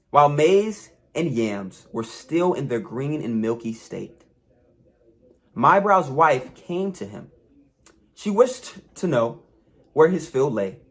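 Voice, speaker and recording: Slow, animated male storyteller. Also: fairly high pitch. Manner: measured, dramatic phrasing with pauses. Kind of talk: expressive scripted story reading.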